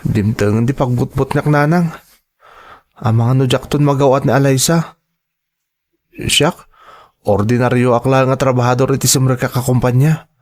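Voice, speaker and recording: A man plays an insecure young male worker in a family audio drama. His delivery is earnest and plaintive, in a medium-pitched voice with a downhearted temper. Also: steady tone, normal speed, pauses often, a little mellow, natural voice.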